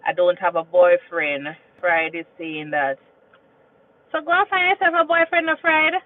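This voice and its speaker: A woman's voice: on the low side, expressive, moderate pace. A woman from Jamaica with a Jamaican accent, chatting casually on a livestream, telling stories and often repeating herself. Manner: chatty and storytelling, repeats phrases and asks "you understand?". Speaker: nurse and livestream host. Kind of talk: casual livestream chat reading viewer comments. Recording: tinny phone-like sound.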